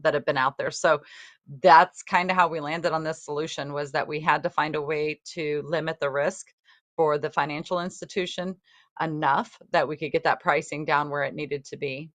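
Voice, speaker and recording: A woman's voice: low voice, no echo. A woman testifying before a legislative committee, answering questions plainly with frequent ums and restarts. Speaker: local housing official testifying.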